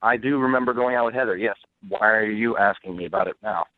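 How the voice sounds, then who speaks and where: A man's voice: lowish voice, fast talker, dry recording. A man speaking bluntly and smugly over the phone as a guest in an unscripted radio call, unapologetic in his answers. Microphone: telephone. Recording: band-limited phone line.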